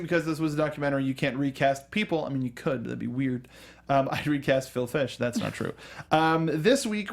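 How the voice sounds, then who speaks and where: A man hosting a casual talk show about films and games, explaining things with asides and acted-out imagined lines. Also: a little high. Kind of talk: casual film and video game discussion.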